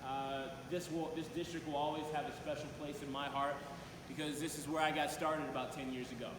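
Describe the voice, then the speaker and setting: A man giving a motivational talk as a coach at a conference, addressing his audience directly with frequent hesitant pauses, in a large room. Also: a little high, level tone. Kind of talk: motivational public presentation. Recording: very echoey noisy hall, long reverb.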